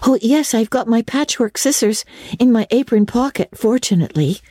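A high, mellow young orphan girl, played by a woman narrator speaks with great romantic earnestness. Her delivery is solemn and theatrical, with flowery old-fashioned phrasing, as in a dramatic storybook reading. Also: expressive, normal speed, no echo, clean studio sound. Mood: earnest.

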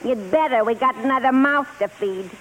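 A woman's voice: a little high, normal speed, a bit bright, lightly acted. A woman playing a grumpy, wisecracking housekeeper companion, sardonic in 1950s radio style. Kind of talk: 1950s American radio comedy-drama acting. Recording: crackly, noisy old radio broadcast.

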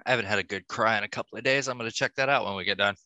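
A man's voice: lowish voice, racing, bright, forceful tone, no echo. A man hosting a casual interview, asking questions and giving brief, encouraging replies. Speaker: podcast host.

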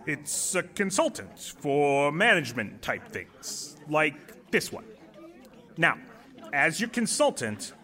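A man playing an assertive, quick-witted former manager in an audio drama, talking in a glib, persuasive, commanding way. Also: on the high side, bright, forceful tone, heavily acted. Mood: brisk, commanding.

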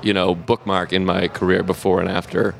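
Low gravelly-voiced comedian with a Boston accent. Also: male voice, flat delivery. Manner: halting, laughs often, self-deprecating. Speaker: comedian guest. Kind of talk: casual interview.

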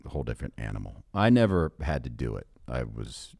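An American man hosting a sports podcast in a casual, animated, conversational way. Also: very deep voice, quick pace, creaky, husky. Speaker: podcast host, sports broadcaster. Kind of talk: casual podcast interview chat.

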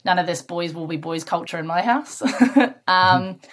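A woman's voice: fairly low pitch, fairly even intonation, moderate pace, fairly bright tone. A woman in her thirties from Australia, chatting upbeat as an election candidate. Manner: chatty and upbeat, many fillers.

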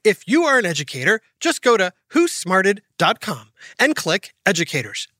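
A woman's voice: mid-range voice, very animated, normal speed, a bit bright, dry recording, clean studio sound. Upbeat woman reading a kids' show promo. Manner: upbeat, emphatic announcer read. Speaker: podcast host. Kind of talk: scripted kids podcast announcement.

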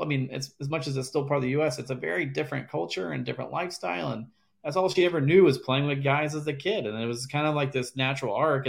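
A man speaking as a podcast guest, his voice sitting at an ordinary middle pitch. He talks in a rambling, halting way, circling back and restarting his sentences. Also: gravelly. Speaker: former caddie, guest. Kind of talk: casual interview chat.

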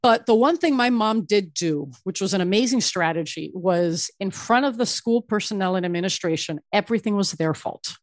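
A middle-aged woman therapist, born in Ecuador and raised in Miami, telling her story in an animated way as a podcast guest. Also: medium pitch, normal speed, few pauses, no echo. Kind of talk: casual interview storytelling.

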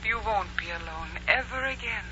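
An actress playing a devoted, tender princess in a 1940s radio romance, speaking in a soothing, romantic manner. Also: female voice, medium pitch, normal speed, close to an everyday voice. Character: tender, devoted Aztec princess, lost beloved returning. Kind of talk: 1940s radio drama acting. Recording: muffled 1940s radio broadcast, music behind.

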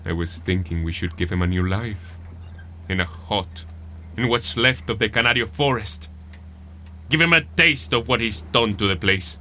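Low, forceful rebel leader. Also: male voice, normal speed, slight background noise. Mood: measured. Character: male Latin American rebel leader, earnest and scheming. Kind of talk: audio drama acting.

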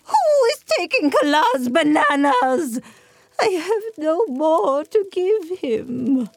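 A woman voicing a sharp-tongued, half-blind old grandmother who scolds and mocks with a cackle. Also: high-pitched, sing-song, slow pace, no echo, faint music behind, pitched above the performer's own voice, heavily acted. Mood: anguished.

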